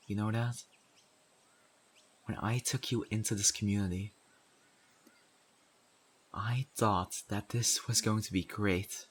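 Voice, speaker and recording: Low-voiced, stern zombie apocalypse survivor played by a man. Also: close to an everyday voice. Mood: disappointed, confronting. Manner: earnest, scolding, deliberate with pointed pauses. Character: stern survivor community member in zombie apocalypse, exasperated, principled. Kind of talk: audio roleplay acting addressed to the listener.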